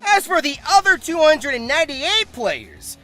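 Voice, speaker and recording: A man's voice: very high-pitched, lively intonation, moderate pace, sharp tone, soft background music, low-quality recording. A man hosting a scripted comedic review, narrating theatrically with exaggerated emphasis. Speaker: host.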